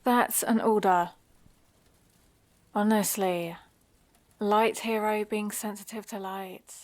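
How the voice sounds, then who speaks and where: A woman's voice: medium pitch, steady tone, slow, dry recording, lightly acted. A British woman playing a haughty supervillain in an ASMR roleplay, dry, sardonic and commanding, with a grudgingly caring streak, close to the microphone. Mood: urgent, exasperated. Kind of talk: ASMR roleplay, acted monologue to the listener.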